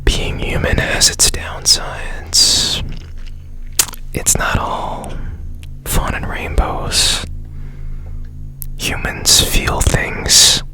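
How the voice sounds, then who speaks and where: A man whispering in a breathy, deliberate way up close to the mic, in an ASMR roleplay as a mysterious repairman. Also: bright, forceful tone, low-quality recording. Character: strange secretive man repairing a broken robot. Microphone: close ASMR microphone.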